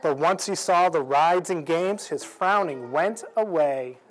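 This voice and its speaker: A man in his forties reading a picture book aloud to children in an expressive, measured storytelling way. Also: fairly high pitch, faint music behind, poor audio. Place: indoors, library event room. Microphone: lapel. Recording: clear background noise in room.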